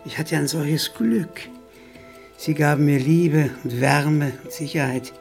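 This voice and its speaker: An actor in a radio play playing a nervous, bitter violinist posing as his brother, with halting, stammering speech. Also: on the high side, fairly even intonation, creaky, a little mellow, faint music behind. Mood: wistful. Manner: halting, stammering, self-interrupting.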